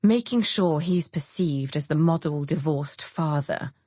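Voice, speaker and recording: A woman reader acts out a witty, bohemian woman friend in an audiobook novel. She is petulant and caustic, teasing in a dry theatrical drawl. The voice is husky and fairly low, recorded close and dry. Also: a little slow, dark tone, dry recording. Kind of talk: audiobook character dialogue.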